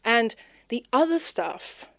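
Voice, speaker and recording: Animated well-spoken woman, dry close mic. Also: fairly high pitch, takes it slowly, creaky, no room sound. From Zimbabwe. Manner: expressive and animated, laughs between words. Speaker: podcast guest. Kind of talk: candid conversational interview.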